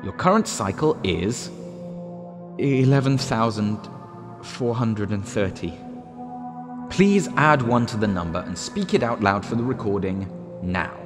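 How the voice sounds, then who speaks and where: A man's voice: lowish voice, big pitch swings. Man acting a cryptic guiding Voice, echoey, musical backdrop. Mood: cryptic, persuasive. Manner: measured, instructive, cryptic. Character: recorded guiding Voice, a man's earlier self, insistent and cryptic. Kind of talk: one-man stage play dialogue. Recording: echoey room, music behind the voice.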